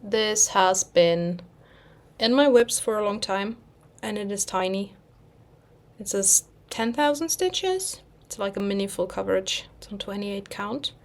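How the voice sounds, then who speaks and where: A young German woman speaking English as a second language, chatting in a loose, rambling way. She pauses now and then to hunt for a word and laughs at herself. Her voice sits at an ordinary middle pitch. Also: lively intonation. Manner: rambling, self-deprecating, searches for words. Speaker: cross-stitch vlogger. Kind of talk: casual chat.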